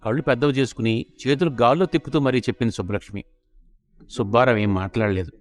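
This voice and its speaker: A man narrates a light family comedy as a scripted audio story. His voice sits at a middle pitch and he tells the tale as a storyteller. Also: fast.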